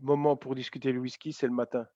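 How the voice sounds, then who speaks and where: A man's voice: medium pitch, little pitch movement, fast, a loud crowd. A man hosting a casual interview, friendly and stumbling over his words. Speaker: interviewer host. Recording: dull lo-fi dry sound.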